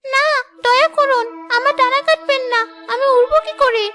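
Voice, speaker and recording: A woman's voice: very high-pitched, steady tone, moderate pace, sharp tone, faint music behind. A woman voicing a naive, childlike little fairy girl in a cartoon fairy tale. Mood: frightened pleading. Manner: childlike, innocent.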